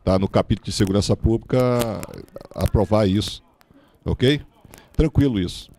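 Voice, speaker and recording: A Brazilian man answering informally as a political candidate, in a halting way with restarted phrases. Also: fairly low pitch, warm tone, a little hiss or hum. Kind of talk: informal answer at a public forum.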